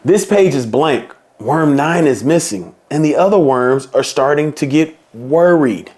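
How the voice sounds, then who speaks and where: A man reading a children's picture book aloud in a slow, playful storybook manner. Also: on the high side, expressive, darkish tone.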